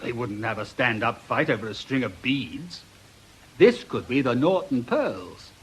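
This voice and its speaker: Wry, husky British police detective. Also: male voice, mid-range voice, big pitch swings, measured pace, full character voice, low-quality recording. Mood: matter-of-fact, confident. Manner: dry, matter-of-fact, exasperated. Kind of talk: radio drama acting.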